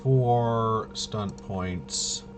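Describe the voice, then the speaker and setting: A man thinking aloud through a tabletop game walkthrough, casually muttering to himself in a halting way and trailing off. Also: on the low side, noisy background. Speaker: solo tabletop RPG player demonstrating gameplay.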